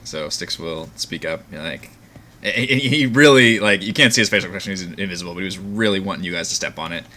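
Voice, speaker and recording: A man's voice: low-pitched, quick pace, pushed and bright, slight background noise. A man chatting casually as a player in a streamed tabletop roleplaying game.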